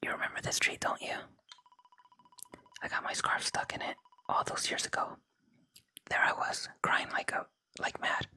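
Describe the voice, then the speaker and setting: A gaming host whispering softly in ASMR style close to the microphone, reading game dialogue and commenting on play. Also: normal speed, pauses often. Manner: unvoiced, gentle whispering throughout.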